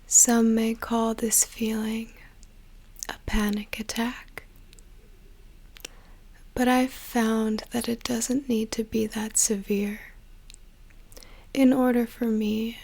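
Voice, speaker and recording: A young woman whispering a slow, soothing guided meditation as an ASMR creator, with a soft breathy voice. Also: a little high, flat delivery.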